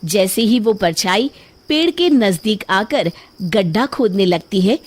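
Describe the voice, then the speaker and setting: A woman's voice: medium pitch, expressive, unhurried. A woman narrating a scripted children's moral story.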